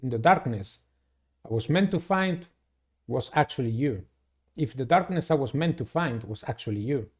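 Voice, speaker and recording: A man's voice: medium pitch, lively intonation, normal speed, breathy edge. A man voicing a stern, accusing investigator of the supernatural in a gothic game, demanding and dramatic.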